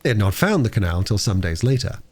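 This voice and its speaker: A man's voice: fairly low pitch, very animated, quick pace, a little mellow. A man reading a storybook aloud as a narrator. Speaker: audiobook reader.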